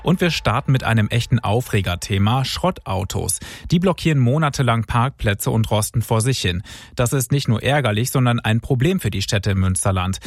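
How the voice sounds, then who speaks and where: Brief: male regional radio news anchor, clear and even, dry studio sound. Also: medium pitch, moderate pace, no echo. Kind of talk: scripted radio news presenting.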